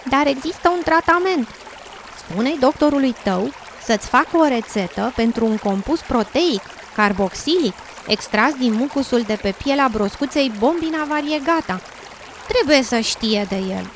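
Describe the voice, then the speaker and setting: A woman acts out a friendly little blue frog for a radio drama. The voice is chirpy, talkative and full of sympathy, pitched fairly high. Noise and trickling water sit clearly behind it. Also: expressive. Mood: helpful. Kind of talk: educational radio drama acting. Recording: noisy, with running water sounds behind.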